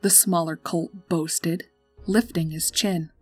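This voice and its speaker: Lowish husky woman storyteller. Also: steady tone, slow pace, darkish tone. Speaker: narrator. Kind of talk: scripted story narration. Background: music.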